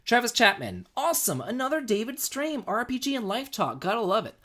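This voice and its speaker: A man's voice: extremely high pitch, very animated, fairly quick, sharp tone. A man in his forties hosting a casual livestream, a bit tipsy, rambling and repeating himself while telling stories and reading chat. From United States. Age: 40s. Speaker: livestream host.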